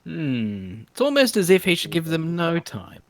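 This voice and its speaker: A man's voice: on the high side, huge pitch swings, measured pace. A man co-hosting a livestream, trading jokes and quips while watching a show. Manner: joking, quips and mock lines. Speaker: streamer co-host. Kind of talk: casual watch-along chat.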